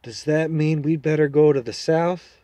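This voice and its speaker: A man's voice: fairly high pitch, steady tone, moderate pace, mellow, dry recording, voice pushed up, lightly acted. A man voicing an eager, polite young boy in a storybook reading.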